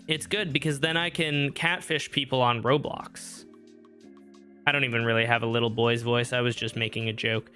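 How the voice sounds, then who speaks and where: A man's voice: medium pitch, flat delivery, moderate pace, bright, forceful tone, faint music behind. A young man streaming a game, rambling and joking at himself as he thinks aloud. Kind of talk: casual live-stream gameplay talk. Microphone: streaming microphone.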